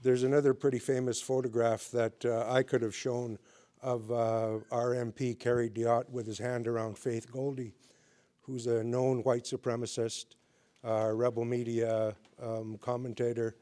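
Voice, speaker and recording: A man from the audience putting a measured question to a candidate. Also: mid-range voice, flat delivery, warm tone. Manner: measured, with fillers. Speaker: audience member asking a question. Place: mosque hall.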